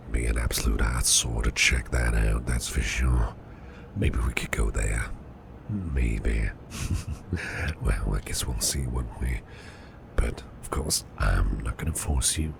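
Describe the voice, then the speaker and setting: Caring boss , male actor: rock-bottom pitch, heavy creak, breathy half-whispers, soothing and tender ASMR roleplay delivery, close mic. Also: full character voice. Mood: shyly inviting, tender. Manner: soothing, unhurried, repeats reassurances softly. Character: caring, reassuring businessman boss, gently flirtatious. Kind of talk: ASMR roleplay, personal attention. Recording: clearly audible background noise.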